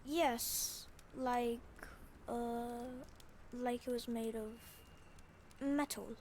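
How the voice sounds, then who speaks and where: A woman's voice: fairly high pitch, steady tone, darkish tone, a little hiss or hum. A woman playing an earnest, worried child who speaks hesitant, in a video game. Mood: uneasy. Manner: hesitant, halting. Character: worried young child, earnest, minding a shrine alone. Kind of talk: scripted game dialogue.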